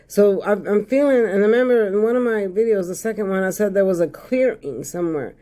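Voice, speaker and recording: A hoarse man giving a casual tarot reading to his viewers, repeating phrases and often checking in with "okay?". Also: high-pitched, mellow. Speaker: tarot card reader.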